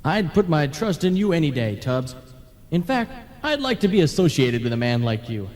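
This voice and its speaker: Man playing a sly, mellow ghost in heavy reverb. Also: fairly high pitch, moderate pace. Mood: flattering. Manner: flattering, smooth-talking, insinuating. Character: sly, sardonic male ghost, genially plotting. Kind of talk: radio comedy drama acting. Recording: reverberant analogue tape of 1980s radio play.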